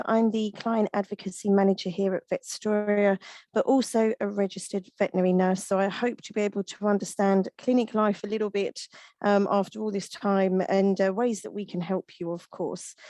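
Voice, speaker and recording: Husky woman presenting a webinar. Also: mid-range voice, no room sound. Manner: steady explaining tone, runs through lists with small fillers. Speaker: webinar presenter and veterinary nurse.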